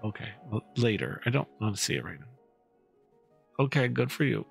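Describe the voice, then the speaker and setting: A man's voice: medium pitch, big pitch swings, moderate pace, gravelly, soft background music. A man talking casually and offhandedly to someone nearby.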